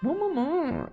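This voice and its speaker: A man doing playful let's play commentary, reading a visual novel aloud and throwing in silly exclamations. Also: gravelly. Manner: playful, breaks into silly high-pitched exclamations. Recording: game music behind the voice.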